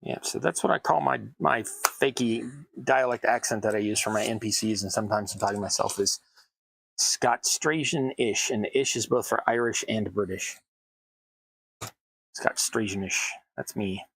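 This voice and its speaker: A man's voice: on the low side, normal speed, gravelly, a bit bright, slightly rough, dry recording, low-quality recording. An American man chatting casually to his stream audience while building tabletop terrain, rambling and self-deprecating. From United States. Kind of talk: casual chat while crafting. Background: sounds of cutting at a worktable.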